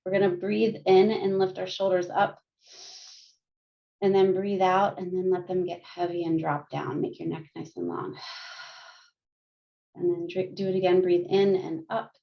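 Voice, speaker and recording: Calm woman guiding mindfulness over video call. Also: lowish voice, measured pace, vocal fry, long pauses. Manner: calm, gentle, with frequent light laughs. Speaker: counselor and guest speaker. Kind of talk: guided mindfulness and yoga instruction. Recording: muffled lo-fi video call audio.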